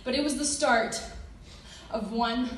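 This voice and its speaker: A woman reciting rhyming slam poetry with dramatic pauses and an emotionally intense delivery. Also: a little high, far from the mic. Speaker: slam poet. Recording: echoey room from afar, noisy.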